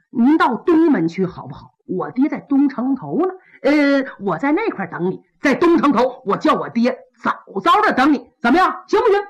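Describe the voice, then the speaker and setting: A woman voicing a sly, evasive young man, an official's son, who whines and makes excuses. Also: dull audio. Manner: whining, excuse-making, high and fast. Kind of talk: traditional Chinese storytelling.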